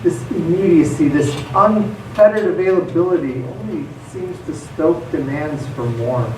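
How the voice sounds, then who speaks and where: A man's voice: fairly high pitch, unhurried, close to an everyday voice. A man acting a reflective, wistful monologue in live radio theatre. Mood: pensive, wistful. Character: reflective, wistful man musing on a dark world. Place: theatre stage. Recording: echoey stage, distant mic, faint noise.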